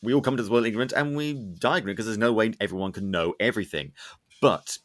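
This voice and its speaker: A man hosting a casual podcast interview, talking in an earnest, rambling, animated way. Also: fairly low pitch, fast. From Scotland, UK. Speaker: podcast host. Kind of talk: casual interview chat, opinionated.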